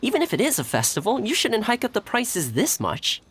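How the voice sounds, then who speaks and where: Insistent, wildly swooping haggler. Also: female voice, a little high, huge pitch swings, a little fast, slightly rough, full character voice. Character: insistent haggling female festival shopper. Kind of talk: video game character acting.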